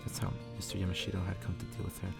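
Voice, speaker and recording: A man softly reading a story aloud in ASMR style, sometimes dropping toward a whisper and stumbling over the text. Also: low-pitched, monotone, racing, creaky, far from the mic, over loud music. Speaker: ASMR reader.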